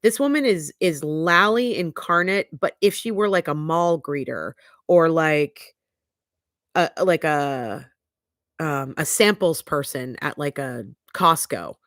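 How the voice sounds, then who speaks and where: A woman's voice: sits low, lively intonation, takes it slowly, a bit bright, no echo, studio quality. A woman with a stuffy, sniffly nose hosting a casual livestream recap, chatty and bluntly opinionated, with lots of fillers. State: congested, sniffly. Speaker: livestream host. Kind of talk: casual chat, trial recap. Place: home livestream.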